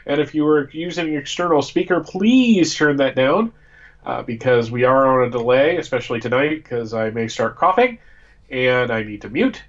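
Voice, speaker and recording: A man's voice: mid-range voice, lively intonation, normal speed, dull audio. An American man co-hosting a casual live fan chat, his voice congested from a cold. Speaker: co-host.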